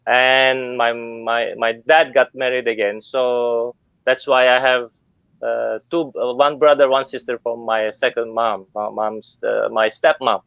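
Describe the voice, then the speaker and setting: A man's voice: medium pitch, level tone, unhurried, vocal fry, fairly bright tone, smooth, no echo. A middle-aged man from Turkey whose first language is Turkish, speaking English with many fillers and restarts as a guest in an online interview. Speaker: guest business owner. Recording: dull lo-fi sound.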